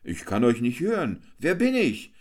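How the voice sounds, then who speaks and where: A man's voice: huge pitch swings, normal speed, a little mellow. A man voicing a hungry, cheeky male jester who teases and whines in sing-song rhymes. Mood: teasing. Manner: high, whiny, sing-song rhyming.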